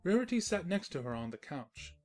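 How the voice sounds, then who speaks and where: Low-voiced woman narrating a story. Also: sing-song, brisk, a little mellow, faint music behind. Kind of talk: storybook-style comic narration.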